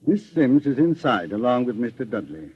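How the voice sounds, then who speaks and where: Composed radio detective, muffled old recording. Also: male voice, mid-range voice, big pitch swings, slightly rough. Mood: firm, resolute. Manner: formal, measured questioning. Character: composed, courteous older male private investigator, probing and authoritative. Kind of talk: 1940s radio detective drama acting. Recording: dull old-time radio broadcast recording.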